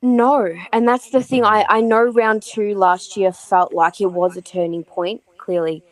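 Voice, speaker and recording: An Australian woman co-hosting a podcast, her voice croaky from yelling, chatting enthusiastically with frequent ums and restarts. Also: mid-range voice, flat delivery, measured pace, dry recording, rough recording. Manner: enthusiastic, many fillers and restarts. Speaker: podcast co-host. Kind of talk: casual chat about football.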